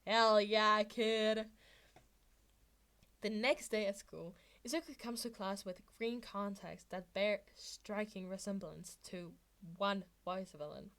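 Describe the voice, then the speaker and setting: A woman reading a story aloud as narrator, in expressive scripted narration. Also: mid-range voice, unhurried, hardly any pauses. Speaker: fan fiction reader, narrator.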